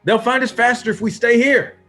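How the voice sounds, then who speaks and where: A man's voice: extremely high pitch, brisk, pushed and bright. A man acting a stubborn, defensive survivor in a horror comic table read, insistent and argumentative. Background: music under the voice.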